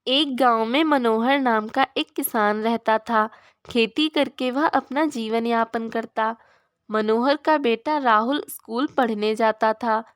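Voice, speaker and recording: A woman's voice: fairly high pitch, slow pace. A woman narrating a scripted moral story for children in storybook style.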